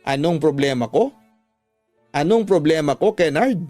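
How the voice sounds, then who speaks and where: A man's voice: fairly high pitch, lively intonation, normal speed, mellow, dry recording, soft background music, close to an everyday voice. A man voicing a tearful, angry young woman confronting her cheating boyfriend in a radio drama. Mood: tearful, accusing. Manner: accusing, wounded.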